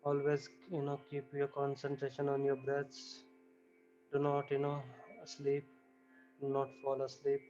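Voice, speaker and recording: A man leading a guided yoga relaxation with chanting, giving calm, repetitive instructions and often saying "you know". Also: mid-range voice, measured pace, lo-fi sound, faint music behind.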